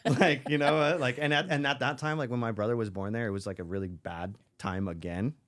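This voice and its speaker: A man's voice: medium pitch, steady tone, a little fast, noisy background. A young man from Israel, a podcast guest, chatting casually and rambling with lots of "like" and "you know". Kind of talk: casual chat.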